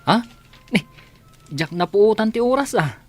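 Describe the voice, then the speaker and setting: A man's voice: high-pitched, lively intonation, measured pace, soft background music. A man with an Ilocano accent playing a meek, dutiful office employee in a radio comedy drama. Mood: mild, respectful.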